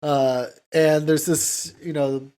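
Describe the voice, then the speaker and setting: A man's voice: fairly high pitch, fairly even intonation, slow pace, warm tone, clean tone. A man hosting a podcast, talking casually about films in a hesitant, rambling way with lots of "you know". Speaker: podcast host. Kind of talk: casual film discussion.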